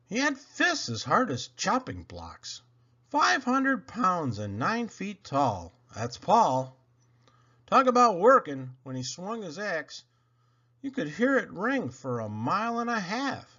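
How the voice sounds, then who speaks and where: A man reading storybook poetry aloud to children in a theatrical, expressive way with dramatic pauses. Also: a little high, dull audio. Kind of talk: animated storybook read-aloud.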